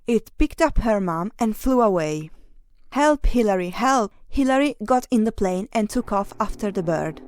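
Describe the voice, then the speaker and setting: A woman's voice: medium pitch, animated, normal speed, hardly any pauses, background noise. A woman narrating a children's adventure story from a script.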